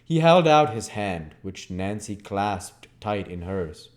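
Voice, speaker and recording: A man's voice: on the low side, big pitch swings, slow pace. A man reading a classic novel aloud as a dramatic, emphatic narrator. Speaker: audiobook reader.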